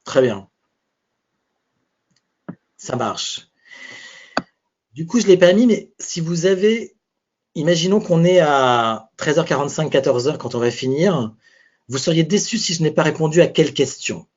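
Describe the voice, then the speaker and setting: A man's voice: a little high, expressive, a little slow, long pauses, husky. A man leads a live webinar as a speaking coach, his slightly raised voice chatty and searching, restarting phrases as he thinks aloud. The audio comes through a dull computer microphone.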